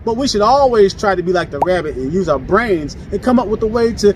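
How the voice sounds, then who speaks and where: A man's voice: high-pitched, expressive, brisk, slight background noise. A man telling a children's story in a dramatic, sing-song way, repeating words for effect. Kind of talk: animated story narration. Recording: off-mic, loud music underneath.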